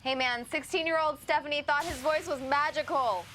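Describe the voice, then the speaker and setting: Easygoing woman reading chat, slightly high voice. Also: normal speed, fairly bright tone, slight background noise. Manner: easygoing, chatty, laughs along, reads out viewer comments. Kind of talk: casual let's-play chat.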